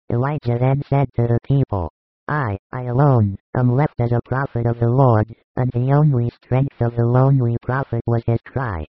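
Man acting kindly holy master, medium male pitch, measured, solemn, audiobook drama, close dry sound. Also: no room sound, highs cut off, close to an everyday voice.

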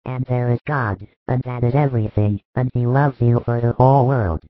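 A male storyteller voicing a tender spiritual master who speaks slowly and solemnly, almost intoning. His voice sits at an ordinary male pitch. The recording is thin and narrow, like a phone line, and very dry. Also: no room sound, faint music behind, close to an everyday voice. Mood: consoling.

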